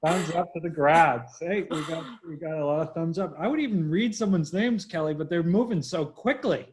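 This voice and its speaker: A man's voice: a little high, normal speed, a little mellow. A man from Boston hosting a live online interview, chatty and upbeat, talking straight to his viewers. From Boston, USA. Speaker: host. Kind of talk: livestream interview.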